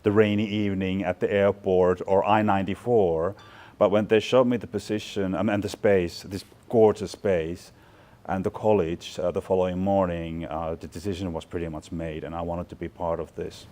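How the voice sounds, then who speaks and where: A man's voice: low-pitched, fairly even intonation, moderate pace, dark tone. A man in his forties from Finland, talking through thoughtful, chatty interview answers with plenty of fillers and restarts. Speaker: guest, fashion department chair. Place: large bright studio loft indoors.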